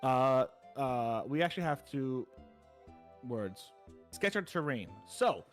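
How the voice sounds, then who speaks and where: A man's voice: medium pitch, very animated, slow, faint music behind. A man running a tabletop story game on a voice call, explaining the rules casually and reading the world lore in a solemn storybook tone. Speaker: game facilitator.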